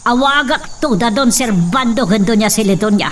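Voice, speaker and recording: A woman's voice: a little high, animated, moderate pace, a little hiss or hum, lightly acted. A woman with an Ilocano accent acting as a scheming, vengeful mother in a radio drama. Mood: angry, scolding.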